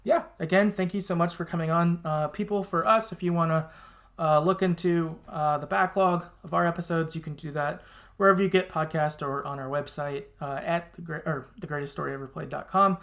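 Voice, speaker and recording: A man's voice: fairly high pitch, steady tone, fairly quick, gravelly, a bit bright. A man hosting a podcast in a casual, rambling and agreeable chat style with some hesitation. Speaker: podcast host.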